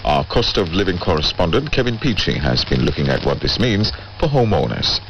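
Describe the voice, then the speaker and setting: A man's voice: low voice, very animated, a little fast, a bit bright. A British man reading scripted news as a radio presenter, heard over shortwave radio. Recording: dull, noisy shortwave radio relay.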